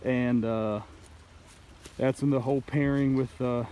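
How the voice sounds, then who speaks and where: A man telling a story casually in a halting, rambling way, like an outdoor vlog host talking to the camera. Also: mid-range voice, steady tone, warm tone. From United States. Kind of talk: casual play-by-play hunting storytelling. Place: outdoors in woods by a creek. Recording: noisy outdoor ambience.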